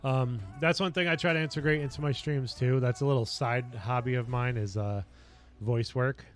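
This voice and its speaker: Man, casual livestream chat, rambling, earnest, slightly raspy, mid-pitched, faint background music. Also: steady tone, moderate pace. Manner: rambling, filler-heavy, earnest. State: voice getting raspy. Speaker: streamer and lifestyle coach. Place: basement home office.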